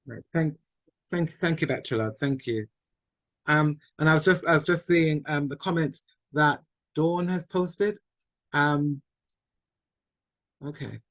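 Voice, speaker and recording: A man hosting a church webinar over a video call. His voice is slightly high and sounds dry and close, and he thanks contributors often, circling and repeating words while he thinks aloud. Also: measured pace, long pauses, dry recording. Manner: warm, repetitive, thanking, hesitant. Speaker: webinar chair. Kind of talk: chairing an online discussion.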